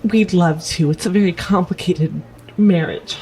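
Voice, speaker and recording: A woman's voice: lowish voice, measured pace, a little mellow, low-quality recording. A woman playing in a tabletop roleplaying show, casually improvising in and out of character over light music.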